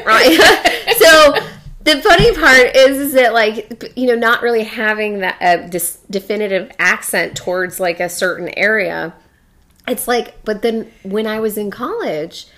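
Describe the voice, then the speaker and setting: Bright, giggly woman with a Chicago accent. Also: fairly high pitch. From born in Louisville, Kentucky. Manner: giggly, animated, quick to laugh. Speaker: podcast co-host. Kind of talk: casual chat.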